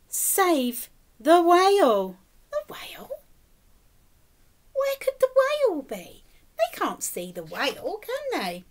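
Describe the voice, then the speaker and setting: A middle-aged woman reading a picture book aloud to young children, expressive and encouraging, often putting questions to them. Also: on the high side. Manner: expressive, exaggerated, encouraging, speaking as to small children. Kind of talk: animated children's storytime reading with questions to the listeners. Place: small indoor room, plain wall.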